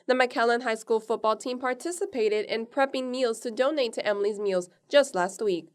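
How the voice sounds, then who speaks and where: A woman reporter reading a news story in a scripted, even delivery. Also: fairly high pitch, normal speed, smooth. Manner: even, read-aloud delivery.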